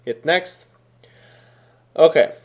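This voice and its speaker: A man whose first language is Serbian, explaining a software installer step by step in a casual, thinking-aloud way with frequent fillers. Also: drawn-out words. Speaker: software tutorial instructor. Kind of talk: screen-recorded coding tutorial.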